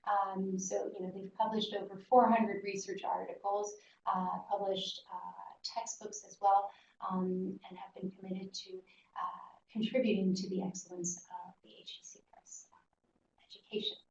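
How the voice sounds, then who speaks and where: A woman presents slides in a halting, informal way. Her mid-pitched voice comes from a little way off the microphone, in an echoey room with a slightly dull sound. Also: level tone, creaky, darkish tone. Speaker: webinar presenter for a business school. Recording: muffled, roomy, distant mic, faint noise.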